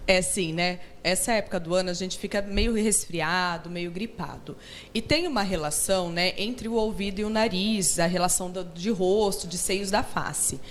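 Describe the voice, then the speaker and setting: A woman's voice: fairly low pitch, normal speed, a little hiss or hum. A woman with a Brazilian Portuguese accent, a hearing therapist guest on a TV show, explaining patiently in a studio and often adding "né?". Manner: patient, explanatory, tags sentences with "né?". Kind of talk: explanatory interview talk.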